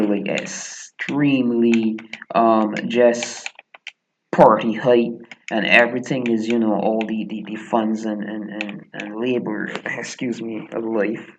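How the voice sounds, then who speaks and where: Young man, Barbadian accent, gravelly voice, rambling with fillers, lo-fi home recording. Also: mid-range voice, a little mellow, slight background noise. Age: 20s. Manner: meandering, filler-heavy, chuckling asides. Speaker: gaming vlogger. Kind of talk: rambling casual commentary while gaming. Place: small room at home, echoey.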